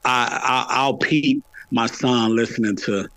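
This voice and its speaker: A man's voice: medium pitch, fairly even intonation, unhurried, a bit bright, no echo. A middle-aged man chatting casually in an interview, repeating phrases for emphasis. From Atlanta, United States. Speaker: podcast guest, hip hop podcaster.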